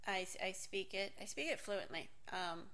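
A woman's voice: medium pitch, normal speed, pushed and bright. A woman in her forties from Miami telling a candid personal story, rambling and self-interrupting, swearing casually and laughing often. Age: 40s.

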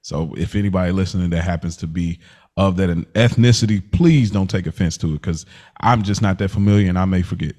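A man's voice: low-pitched, a little fast, warm tone. A man hosting a podcast, casually recapping a TV show in slangy, informal storytelling. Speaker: podcast host.

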